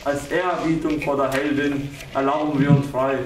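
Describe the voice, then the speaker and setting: A man's voice: mid-range voice, little pitch movement, moderate pace, natural voice. A man playing a veteran who gives a formal, solemn, reverent tribute speech in a music theatre piece. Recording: roomy, distant mic with clear hiss.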